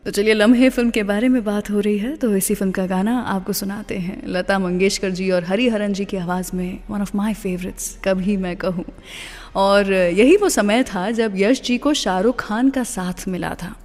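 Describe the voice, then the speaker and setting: A woman's voice: mid-range voice, fairly even intonation, moderate pace, a little mellow. An Indian woman presenting a radio show, telling stories in a conversational way and switching between Hindi and English phrases. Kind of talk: scripted radio presenting with film trivia between songs. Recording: long reverb, music underneath.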